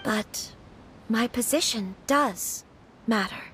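A woman playing a gentle young noblewoman in an anime video game, speaking in a polite, hesitant, earnest manner. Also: a little high, takes it slowly, a little hiss or hum, rough recording. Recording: game music behind.

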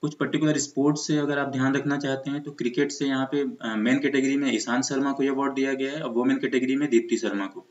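A man's voice: medium pitch, fairly even intonation, fast talker, hardly any pauses. An Indian man teaching exam preparation in an explanatory lecture style, mixing in English terms. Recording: muffled, echoey room recording.

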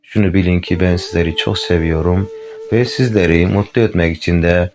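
A man voicing a small, earnest schoolchild ant in a storybook tale, in his own low voice. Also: steady tone, brisk, natural voice. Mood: loving.

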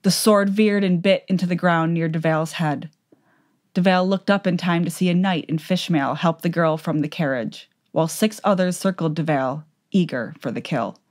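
A woman narrates a fantasy tale from a script. Her voice is low, and her delivery is measured and dramatic. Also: darkish tone.

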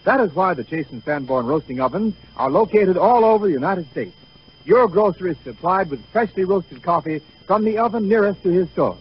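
Vintage radio commercial man: high, measured, emphatic sales read, dull old broadcast sound. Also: lively intonation, breathy edge. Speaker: commercial announcer. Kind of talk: 1930s radio advertisement, scripted. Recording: muffled 1930s radio recording.

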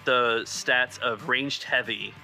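A man's voice: medium pitch, fairly even intonation, takes it slowly, vocal fry, pushed and bright, faint music behind. A man running a tabletop role-playing game as game master, thinking aloud in a conversational way.

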